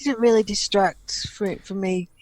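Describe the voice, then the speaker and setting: A woman's voice: mid-range voice, steady tone, normal speed, a little mellow, no room sound, low-quality recording. Hesitant woman co-host, dry close sound. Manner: hesitant, with fillers and restarts. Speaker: podcast co-host. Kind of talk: casual podcast discussion.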